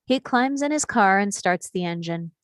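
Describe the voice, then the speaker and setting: A woman's voice: medium pitch, animated, moderate pace, no room sound, studio quality. A woman reading scripted screenplay action and scene headings as a narrator at a table read. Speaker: stage directions reader.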